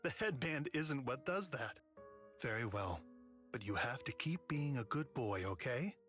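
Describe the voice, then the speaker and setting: A gentle male caretaker in a video game, with a mid-pitched, expressive voice. He is patient and indulgent, with music underneath. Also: unhurried. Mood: gently firm. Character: gentle older caretaker soothing a child.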